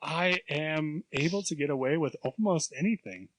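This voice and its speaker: A man in his thirties co-hosting a podcast, telling animated stories, acting out other people's voices and laughing often. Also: fairly high pitch, moderate pace, dry recording. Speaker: podcast co-host. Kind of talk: casual chat, storytelling.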